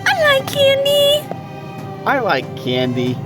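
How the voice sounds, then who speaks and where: A man voicing a childlike, giddy patient in a horror audio drama, chanting in a squeaky, sing-song way. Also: wildly swooping pitch, slow pace, exaggerated voice. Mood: giddy, craving. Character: childlike patient giddily begging for candy. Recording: loud music under the voice.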